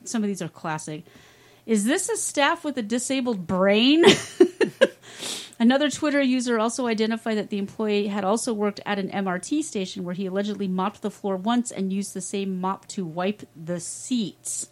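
A woman's voice: mid-range voice, normal speed. A woman co-hosting a casual podcast, reading news stories aloud with wry asides and frequent laughter.

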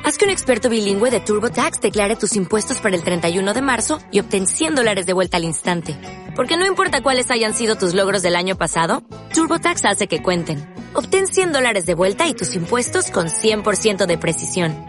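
A woman announcer reading a scripted commercial in a smooth, upbeat sales voice. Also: mid-range voice, big pitch swings, fairly quick. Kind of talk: scripted radio ad read. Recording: studio ad with music bed.

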